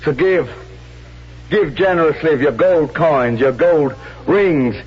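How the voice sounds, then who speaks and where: A man's voice: fairly high pitch, slow, soft background music. A man acting a master of ceremonies in a 1970s radio drama, projecting a rousing, exhortative public address. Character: rousing male master of ceremonies at fundraising ball. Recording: muffled vintage radio broadcast.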